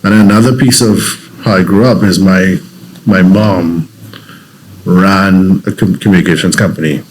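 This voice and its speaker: A man's voice: low-pitched, fairly even intonation, moderate pace, poor audio. A man from Trinidad and Tobago with a Trinidadian accent, a guest telling his story in an interview, reflective and earnest with frequent restarts.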